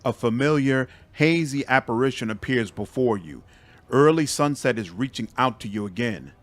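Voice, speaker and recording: A man's voice: mid-range voice, expressive, moderate pace, fairly bright tone, no room sound. A man reading scripted fantasy game narration in a dramatic storytelling style. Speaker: gaming video creator and narrator.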